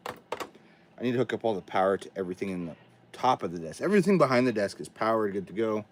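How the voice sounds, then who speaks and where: A man with a cold and a raspy, hoarse voice, rambling casually as he hosts a livestream from his home studio. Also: fairly low pitch, lively intonation, quick pace, a little hiss or hum. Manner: rambling, chatty, talks to himself while setting up. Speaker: livestream host.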